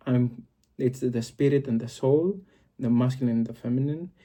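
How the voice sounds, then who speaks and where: A man's voice: medium pitch, mellow. A man from Greece with a Greek accent, talking to camera in a reflective solo video and often searching for words. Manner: searching for words, frequent fillers and restarts. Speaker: video host.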